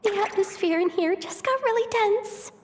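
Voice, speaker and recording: A woman's voice: very high voice, normal speed, a little hiss or hum. A woman voicing a small, childlike fairy companion in a video game, chirpy, blunt and suspicious. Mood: wary. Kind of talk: video game cutscene acting. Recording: game music behind the voice.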